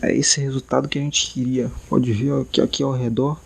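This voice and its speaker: A man's voice: mid-range voice, little pitch movement, a little fast, vocal fry, a little hiss or hum, low-quality recording. A young man with a Brazilian accent, chatting in a slangy, laid-back, joking way in a home vlog. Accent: Brazilian Portuguese. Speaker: vlogger. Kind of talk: casual home vlog chat.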